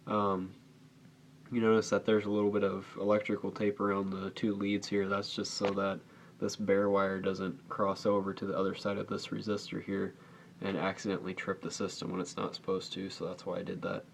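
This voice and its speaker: A man with a lowish, gravelly voice walks viewers through a hands-on demonstration in a casual, unscripted way. His intonation stays fairly even, with frequent creak. There is faint noise behind him in a home recording. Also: normal speed. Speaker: hobbyist video host demonstrating alarm wiring. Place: indoors at home.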